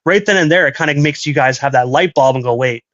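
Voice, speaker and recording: A man's voice: medium pitch, fast talker, a bit bright, dry recording, lo-fi sound. A man hosting a casual radio interview, chatty and eager, asking long winding questions. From Canada. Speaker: radio host.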